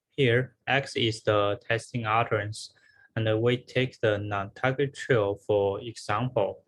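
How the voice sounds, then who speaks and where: A man's voice: lowish voice, fairly bright tone, rough recording. Man giving a scripted academic presentation. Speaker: researcher presenting a conference paper.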